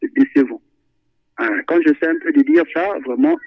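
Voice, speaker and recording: A man's voice: a little high, normal speed, vocal fry, no echo. A man calling in to a radio show, chatting animatedly about football with many hesitations and repetitions. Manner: animated, repeats himself, frequent hesitations. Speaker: radio call-in listener. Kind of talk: phone-in football chat. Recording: tinny phone line.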